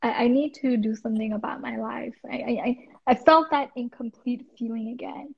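A soft-spoken woman guest on a live interview show, talking hesitantly with many restarts. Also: on the high side, fairly even intonation, normal speed, creaky, slightly rough. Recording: dull lo-fi audio.

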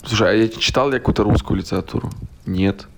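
A low, gravelly man of about thirty from Russia talks in a loose podcast chat, circling with fillers and restarts. His speech is blunt and profane. A faint hiss runs under his voice. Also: fast talker. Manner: rambling, full of fillers and restarts. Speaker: podcast guest.

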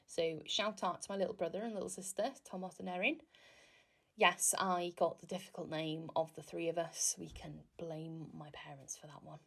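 Young woman, from Wales, Welsh first language, podcast host, creaky fry, chatty, self-deprecating. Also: on the low side, steady tone, normal speed. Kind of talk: casual solo podcast monologue.

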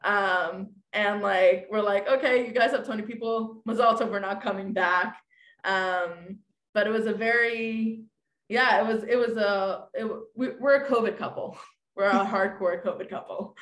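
A woman's voice: mid-range voice, moderate pace. Chatty young woman in an echoey room. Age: 20s. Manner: animated, acts out quoted dialogue, many fillers. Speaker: podcast guest. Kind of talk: casual storytelling chat.

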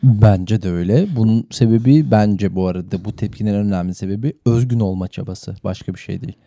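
A man's voice: on the low side, quick pace, warm tone, dry recording. A man, co-hosting a podcast in a casual, conversational way. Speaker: podcast co-host. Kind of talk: casual chat.

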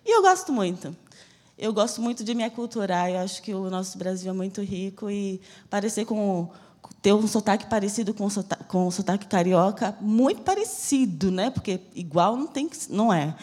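A woman's voice: mid-range voice, lively intonation, a little mellow, big-room sound. A woman from Salvador with a Bahian accent blended with a Rio accent, preaching a fervent, emphatic church sermon as a missionary. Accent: Bahian Portuguese mixed with Rio de Janeiro. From Salvador, Bahia, Brazil. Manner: fervent, emphatic preaching with slow, stressed phrases. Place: church hall.

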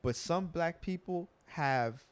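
A man's voice: fairly high pitch, slow pace, smooth. A young man in casual group talk, explaining at length with many fillers and restarts. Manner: animated, lots of filler words and restarts.

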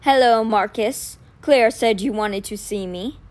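A woman voicing a huge gray wolf in an audiobook, polite, careful and grave, with a gravelly voice. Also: on the high side, a little slow, lightly acted.

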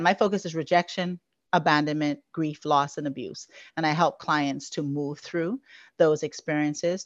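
A woman's voice: low voice, dry recording, lo-fi sound. A woman from the Bahamas, a guest coach answering interview questions in an earnest, flowing way with many "you know" fillers.

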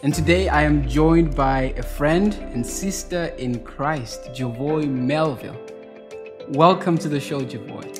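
A man's voice: a little high, a little slow, music in the background. A man hosting a casual conversational interview, affirming his guest often with short murmurs and praise. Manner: warm affirming listener, frequent "mm" and "praise God". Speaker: show host.

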